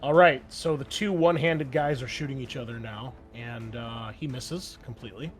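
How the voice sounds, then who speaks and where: A man's voice: medium pitch, normal speed. A man running a tabletop roleplaying game as game master, narrating in a casual, descriptive, wry manner. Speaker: game master and show host. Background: music behind the voice.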